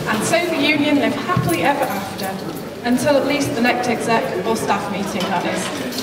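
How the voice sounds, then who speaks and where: A woman's voice: fairly high pitch, animated, moderate pace, over a noisy crowd, natural voice. A woman playing a wry storybook narrator in a live comic pantomime, in a theatrical storytelling style. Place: large hall, live amateur stage show. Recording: distant, echoey hall, heavy background noise.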